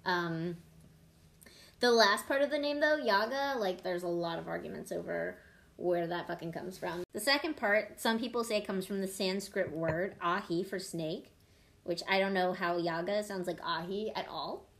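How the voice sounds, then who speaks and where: A woman's voice: lowish voice, moderate pace, creaky, fairly bright tone. A woman hosting a podcast, explaining and telling things in an informal, irreverent, sweary conversational style. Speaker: podcast host. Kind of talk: casual conversational storytelling and explaining.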